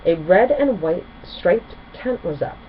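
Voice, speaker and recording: Female storybook narrator, expressive, varied intonation, slightly dull recording with faint noise. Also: lowish voice, takes it slowly.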